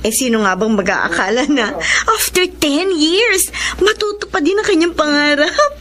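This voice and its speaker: A woman acting a bubbly, teasing young sister in a Tagalog radio drama, affectionate and animated. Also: sits high, normal speed. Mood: bright, teasing. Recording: clearly noisy background ambience.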